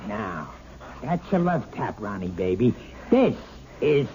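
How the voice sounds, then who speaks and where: A swaggering, sneering drunk husband; lively pitch, old radio drama, tinny line. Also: male voice, slow pace, heavy background noise. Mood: menacing. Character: boozy bullying husband, middle-aged man. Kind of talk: 1970s radio drama acting.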